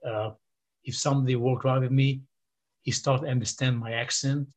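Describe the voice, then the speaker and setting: A man's voice: mid-range voice, fairly even intonation, brisk. Older man with a Romanian accent. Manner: rambling, many fillers and restarts, quotes others in raised voice. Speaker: interview guest. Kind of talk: casual storytelling.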